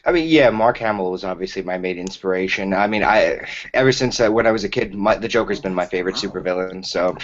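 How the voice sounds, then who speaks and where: A man's voice: sits low, fast, hardly any pauses. A young man, a guest voice actor, chatting casually about his craft over a video call and often searching for his words. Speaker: voice actor, guest in cast chat. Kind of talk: casual chat about acting. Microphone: video call with separate microphone. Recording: muffled, faintly noisy internet call.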